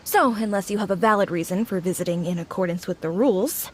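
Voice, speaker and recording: An actress playing a polite, officious border official in a video game, speaking in a formal, procedural way. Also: female voice, mid-range voice, quick pace, slight background noise, lightly acted. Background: music.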